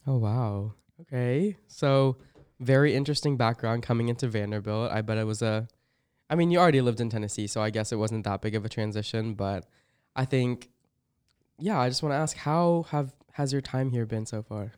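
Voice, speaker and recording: A young man, a first-year student, hosting a casual interview in a friendly, relaxed way with some ums. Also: mid-range voice, normal speed, clean tone, dry recording, studio quality. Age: young adult.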